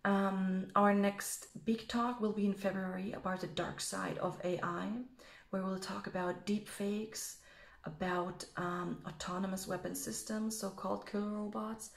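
A woman in her thirties from Switzerland, German her first language, giving a recorded keynote to camera in an earnest, emphatic way with some filled pauses, recorded on a webcam in a small room. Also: medium pitch, level tone, vocal fry. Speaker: keynote speaker. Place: small room with bookshelf, indoors. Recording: echoey room sound.

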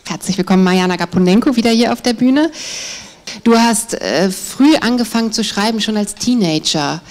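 A woman's voice: medium pitch, expressive, normal speed, darkish tone. A woman in her forties moderating a literary stage interview, speaking in a friendly way with light fillers into a handheld mic. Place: literary event on a stage, indoors.

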